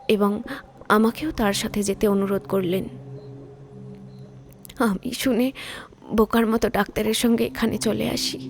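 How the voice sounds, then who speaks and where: A woman playing a weak, wronged lady doctor in an audio drama, recounting in a halting, distressed way. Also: high voice. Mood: anguished. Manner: halting, distressed, repeats words. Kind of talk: dramatised audio story acting.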